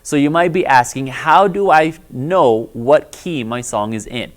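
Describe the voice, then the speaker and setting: A man with a lower, bass-baritone voice explains music theory step by step, like a patient church music teacher. He keeps a friendly, mid-pitched tone and checks in often with "all right?". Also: unhurried. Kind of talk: instructional video lesson.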